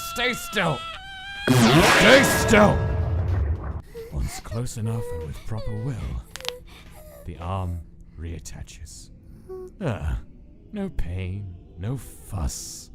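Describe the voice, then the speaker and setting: A man's voice: medium pitch, wildly swooping pitch, takes it slowly, full character voice. A man playing a cold, irritable skeleton scientist who narrates his log in a formal, precise manner for a comic dub. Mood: exasperated. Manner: formal, precise, terse, exasperated when disturbed. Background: music.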